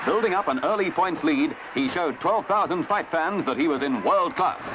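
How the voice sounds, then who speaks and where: An Australian man reading scripted 1950s newsreel narration in a brisk, colourful announcer's delivery, on a vintage soundtrack. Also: on the high side, animated, highs cut off, very noisy. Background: music.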